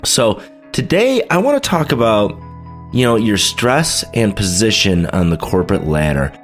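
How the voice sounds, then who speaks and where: A man's voice: lowish voice, sing-song, normal speed, soft background music. A man hosting a podcast, talking casually with lots of fillers and sounding stuffy from a head cold. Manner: chatty, loose, lots of fillers. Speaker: podcast host. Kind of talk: casual solo podcast talk.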